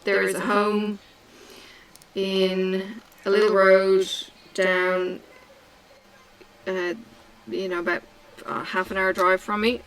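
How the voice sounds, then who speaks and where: A middle-aged woman from Tasmania chatting casually while she crafts, answering questions slowly with many ums and pauses. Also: medium pitch, little pitch movement, a bit bright, smooth, poor audio. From Australia (Tasmania). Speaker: craft vlogger. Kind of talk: relaxed chat while crafting.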